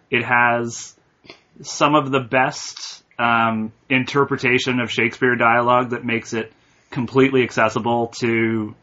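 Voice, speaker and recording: Bright-voiced podcast co-host, lo-fi. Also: male voice, mid-range voice. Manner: thoughtful, halting, with fillers. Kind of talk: casual film discussion. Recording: muffled lo-fi recording.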